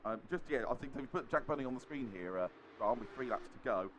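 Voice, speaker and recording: A man calling a sim race at a rapid clip. His voice is hoarse from a sore throat, and he gets more excitable as the finish nears. Steady background noise sits under the stream. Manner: excitable, fast, rises in pitch at the finish. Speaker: lead race commentator. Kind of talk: sim racing commentary.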